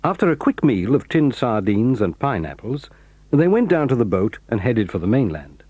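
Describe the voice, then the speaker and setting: British man, storybook narrator, husky, mellow, dry close sound. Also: medium pitch, big pitch swings, normal speed, dry recording.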